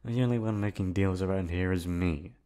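A man's voice: deep voice, a little fast, warm tone, clear, resonant tone, lightly acted. A man playing a possessive, lonely young prince in an ASMR roleplay, speaking in formal, stilted phrases to the lover he holds captive. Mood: cold, commanding. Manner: formal, stilted diction, swinging from tender to commanding.

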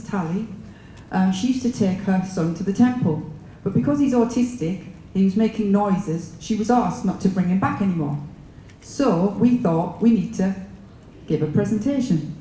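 Middle-aged woman speaker with a northeast English accent, warm storytelling voice, recorded in a reverberant hall with faint noise. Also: mid-range voice. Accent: northeast English with an Italian mix. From northeast England. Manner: chatty, storytelling, many um fillers. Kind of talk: personal talk to an audience. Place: conference room with a seated audience.

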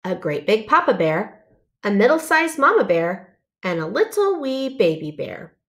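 Animated woman reading a children's story. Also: mid-range voice, unhurried. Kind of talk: expressive children's storybook narration.

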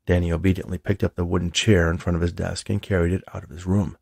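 A man's voice: deep voice, little pitch movement, fast talker, vocal fry, a little mellow, husky, dry recording, clean studio sound. A man reading a story aloud as a scripted audiobook narration. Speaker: author reading own novel.

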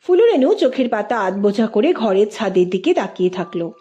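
A woman's voice: medium pitch, sing-song, normal speed, dark tone, muffled recording, faint music behind. A woman reading a literary story aloud as a scripted narrator.

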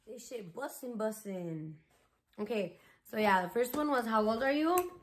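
A woman's voice: on the high side, lively intonation, normal speed. Chatty slangy young woman vlogger. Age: 20s. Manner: slangy chatty, drops Spanish words. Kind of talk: casual Q&A vlog. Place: small bedroom. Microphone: phone camera at arm's length.

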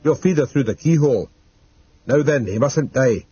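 A man's voice: mid-range voice, animated, normal speed, warm tone, slightly rough, dry recording, muffled recording. A man voicing a calculating young grandson in a storybook, curt and coolly commanding. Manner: curt, ordering.

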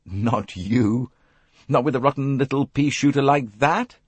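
A man's voice: medium pitch, a little slow, breathy edge, dry recording, pitched above the performer's own voice. A man voicing a breezy upper-class young Englishman in an audiobook, with a hearty, clipped, dismissive manner. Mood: bluff reassurance.

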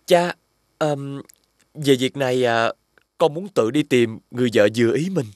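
A man voicing an earnest, brave young fairy-tale prince in an expressive children's cartoon style. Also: medium pitch, measured pace, no echo, clean studio sound, close to an everyday voice. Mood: hesitant, respectful.

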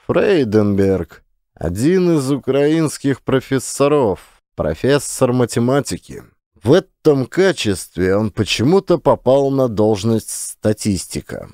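A man reading a first-person memoir as an audiobook in a measured, grave manner, slowing down to weigh his words for emphasis. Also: medium pitch, warm tone, dry recording, studio quality. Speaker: audiobook narrator.

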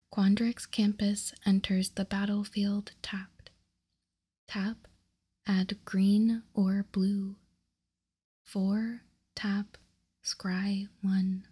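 A woman whispering softly for ASMR, reading aloud in deliberate, measured short phrases close to the microphone. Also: medium pitch, flat delivery. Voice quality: soft, breathy whisper. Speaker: ASMR video host reading trading cards. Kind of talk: whispered ASMR, slow card read-out.

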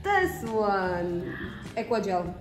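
A woman's voice: mid-range voice, very animated, drawn-out words. A young woman with an Indian accent co-hosts a casual beauty chat, enthusiastic and emphatic, often repeating herself. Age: young adult. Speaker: beauty vlog co-host. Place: small indoor room, pink-walled studio. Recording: echoey room, loud music behind. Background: music.

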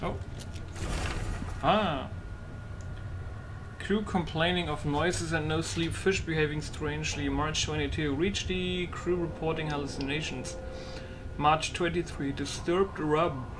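A slightly high-voiced man talks casually to himself while gaming. The recording is very noisy, with game ambience running behind his voice. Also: fairly even intonation, measured pace, long pauses. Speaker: gaming video creator. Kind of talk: casual gameplay commentary.

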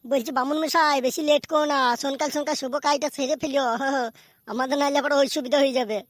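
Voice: sits high, fairly even intonation, quick pace, no echo, clean studio sound, lightly acted. A performer voicing a cheeky, crude, boastful younger brother in a slapstick comedy cartoon. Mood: apologetic, hurried.

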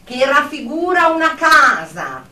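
A woman playing a high, stern psychiatrist. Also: sing-song, a little slow, pushed and bright, full character voice. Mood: clinical, probing. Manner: clinical, condescending, emphatic. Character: stern, condescending female psychiatrist, clinical and impatient. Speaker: therapist character. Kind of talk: radio drama acting. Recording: echoey room, distant from mic.

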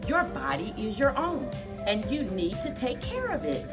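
A middle-aged woman speaking to young children as a story time host, with exaggerated, drawn-out storyteller delivery. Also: a little high, sing-song, far from the mic, loud music behind the voice. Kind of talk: expressive picture-book read-aloud and leading action activity for children. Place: indoors, children's library room.

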